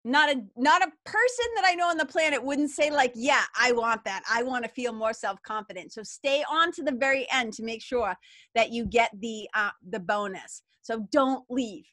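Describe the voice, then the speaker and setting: A middle-aged woman with a Boston accent, co-hosting a live webinar and chatting warmly with viewers in the chat. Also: a little high, moderate pace, no echo. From Massachusetts. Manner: bubbly, chatty, enthusiastic. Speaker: webinar co-host.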